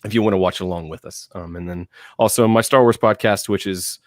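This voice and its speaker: A man chatting casually as a podcaster on a panel, with a halting, self-correcting delivery. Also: low-pitched, fairly even intonation, dry recording, studio quality.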